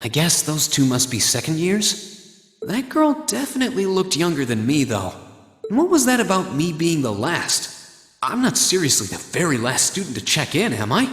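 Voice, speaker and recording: An earnest young student, echoey. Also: male voice, on the high side, very animated, moderate pace, a bit bright, faint music behind. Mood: musing. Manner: casual, reflective. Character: earnest, thoughtful young male military academy student. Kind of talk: game voice acting, musing dialogue.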